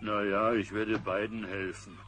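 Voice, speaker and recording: An actor playing a good-natured, simple, easygoing young bear in a German cartoon audio drama. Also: male voice, on the low side, level tone, a little slow, natural voice. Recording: noisy old cassette transfer.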